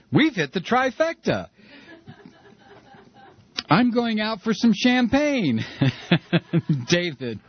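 A man's voice: fairly high pitch, breathy edge, highs cut off. A male talk radio host taking calls, joking and sarcastic, who also builds into repeated, heated rants. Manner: sarcastic, mocking, loose and joking. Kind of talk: political call-in radio. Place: radio studio.

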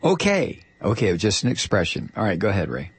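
Sardonic low-voiced radio host, husky. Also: male voice, wildly swooping pitch, a little fast, no echo. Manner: sardonic, rambling, lots of fillers and restarts. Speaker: radio talk show host. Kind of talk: call-in radio talk. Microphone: studio microphone.

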